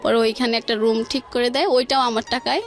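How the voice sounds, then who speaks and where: A woman's voice: on the high side, steady tone, brisk. A woman with a Bangladeshi accent telling a personal story informally and colloquially, stringing events together with quoted dialogue. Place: radio studio. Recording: faint music behind. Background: faint music.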